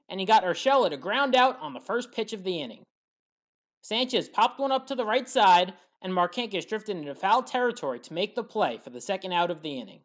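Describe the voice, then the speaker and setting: A woman reading a scripted play-by-play sports recap in a measured, factual way. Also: medium pitch. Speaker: sports highlights commentator.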